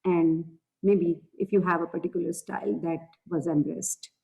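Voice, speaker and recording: A woman's voice: lowish voice, fairly even intonation, moderate pace, gravelly, warm tone, husky, muffled recording. A woman moderating a panel discussion, politely introducing speakers and asking questions.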